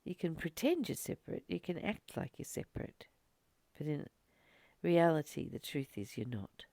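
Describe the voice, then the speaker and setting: A woman giving an informal spiritual talk as a teacher, earnest, phrasing things with deliberate, emphatic pauses. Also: low voice, very animated, gravelly, warm tone, no room sound. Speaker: spiritual teacher leading a daily course study.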